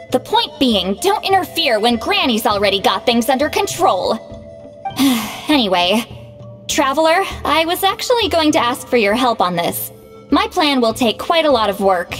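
A woman playing a proud, youthful-sounding shaman in an anime game, lecturing in a brisk, exasperated manner. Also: high voice. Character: proud, bossy, youthful-sounding female shaman called Granny. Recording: long reverb, music behind.

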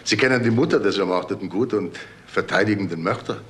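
A male actor plays a persistent detective in a crime radio play. His voice is fairly low with a hard, bright edge. He speaks in measured, pointed lines over faint noise. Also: close to an everyday voice. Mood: probing, confident. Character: persistent, probing police detective. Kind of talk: crime radio drama acting.

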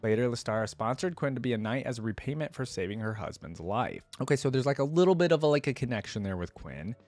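A man's voice: mid-range voice, animated, fast, a little mellow, no room sound. A man in his twenties giving casual reaction commentary, musing aloud as he reads web text at a close desk microphone. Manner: musing, thinks aloud between read passages. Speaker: reaction video host. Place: indoor room at a gaming desk. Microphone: desk microphone close in front, headset on.

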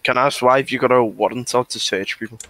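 Bright-voiced cheeky British suspect played by a man. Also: mid-range voice, steady tone, quick pace, close to an everyday voice. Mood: cheeky, mocking. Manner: blunt, slangy, mocking. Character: cheeky, mouthy young male suspect under arrest. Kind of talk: casual online police roleplay improvisation.

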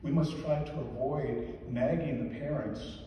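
An older man giving a weekday homily at a lectern, earnest and measured, stressing key words slowly. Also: medium pitch, warm tone, slight background noise. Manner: measured, earnest, slow deliberate emphasis. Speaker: deacon or priest giving a homily. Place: large church sanctuary. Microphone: lectern mic, filmed from the back pews. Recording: echoey church from far off.

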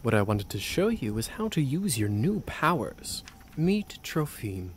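An actor playing a suave, teasing aristocratic vampire officer in a video game, smooth and condescending. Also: male voice, mid-range voice, sing-song, a little slow, slight background noise. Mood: playful, instructive. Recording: game music under the voice.

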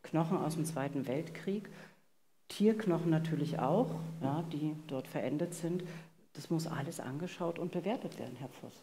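A woman's voice: low-pitched, normal speed, dark tone. A middle-aged woman co-hosting a live true-crime talk, setting out points emphatically in repeated phrases. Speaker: co-host. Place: vaulted venue, live audience on stage. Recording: live stage sound, faint noise.

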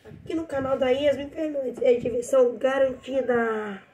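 A woman's voice: sits high, normal speed, warm tone, close to an everyday voice. A girl voicing a talkative girl doll with a cold who hosts a toy play and addresses the viewers, over a noisy home recording.

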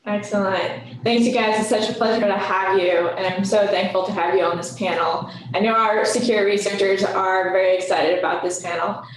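A woman's voice: mid-range voice, fairly even intonation, a little fast, hardly any pauses, a little hiss or hum. A young woman moderating a panel, enthusiastic and welcoming, recorded by a webcam across a desk in a small office. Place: small office with bare walls. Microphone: far webcam across a desk. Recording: very echoey room from the mic's distance.

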